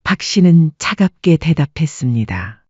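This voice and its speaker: Brief: husky, mellow woman storyteller, calm delivery, dull lo-fi recording. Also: deep voice, a little slow, dry recording. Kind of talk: scripted audiobook narration.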